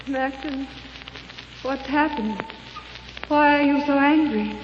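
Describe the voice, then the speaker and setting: High, slow, frail wife. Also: female voice. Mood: weak, bewildered. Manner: halting, earnest, dramatic. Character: frail bedridden wife finding fragile, fervent resolve. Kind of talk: 1930s radio drama acting. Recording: scratchy muffled 1930s radio recording.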